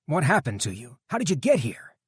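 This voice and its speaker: A man's voice: fairly high pitch, huge pitch swings, a little fast, breathy edge, dry recording, voice pushed up, heavily acted. An anxious young man demanding answers, as a male narrator voices him, his voice lifted and urgent. He speaks with rising worry. The recording is dry and close.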